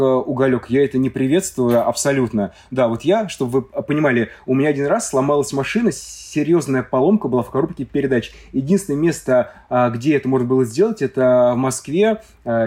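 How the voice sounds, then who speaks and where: A man's voice: medium pitch, darkish tone. A man from Moscow talking as a podcast guest, telling stories in casual chat with many hesitations and filler sounds.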